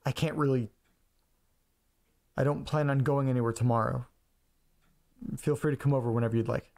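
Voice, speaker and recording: An actor as rambling, casual guy with gravelly creak. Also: mid-range voice, fairly even intonation, normal speed, a little mellow, close to an everyday voice. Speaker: actor in an audio drama. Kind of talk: naturalistic first-person audio drama, talking to himself.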